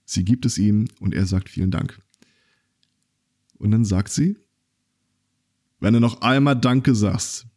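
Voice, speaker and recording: A man talks in a low register with a warm, dark tone. He hosts a casual podcast and tells his stories loosely, full of fillers and restarts. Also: slow, pauses often. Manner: rambling storyteller, many fillers and restarts. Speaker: podcast host.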